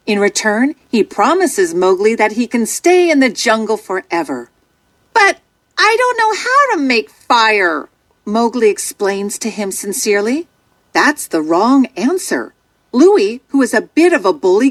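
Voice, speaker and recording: A woman narrating a children's storybook from a script in an expressive, dramatic storytelling manner. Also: fairly high pitch, dry recording.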